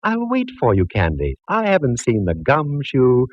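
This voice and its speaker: An actor playing a fussy, affectionate gentleman friend with a theatrical, punning manner, from a dull old radio broadcast. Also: mid-range voice, huge pitch swings, normal speed, no echo. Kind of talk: 1940s radio acting.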